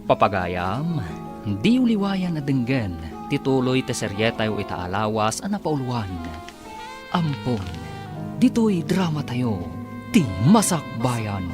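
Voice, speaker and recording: A man narrating and announcing a radio drama serial. His delivery is formal and measured, with a lively, rising and falling pitch. Music runs under his voice throughout. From Philippines.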